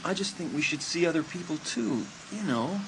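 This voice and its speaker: A man's voice: a little high, animated, moderate pace, gravelly, noisy background. An actor playing an eager, sheepish teenage boy in a dramatised horror audiobook. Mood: surprised, sheepish.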